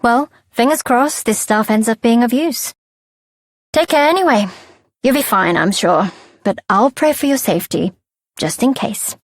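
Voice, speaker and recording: A woman's voice: a little high, expressive, unhurried, no echo, studio quality. A woman voicing a kindly, chatty faerie guide in a video game, lightly teasing as she talks. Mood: breezy, kindly. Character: breezy, kindly female faerie guide fond of teasing humans. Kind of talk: scripted video game character dialogue.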